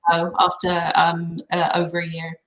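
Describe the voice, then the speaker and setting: A woman's voice: fairly low pitch, completely flat delivery, normal speed, a bit bright. A middle-aged woman chatting casually about her own life as a guest on a live reading. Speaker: guest having her cards read. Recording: roomy, echoing sound.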